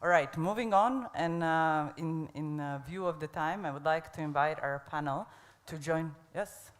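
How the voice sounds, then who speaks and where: A man's voice: fairly high pitch, a bit bright, long reverb tail. A man moderating a conference, passing the floor to speakers and moving the program along informally. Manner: brisk, informal, uses fillers. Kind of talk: moderating a forum. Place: conference hall on an island.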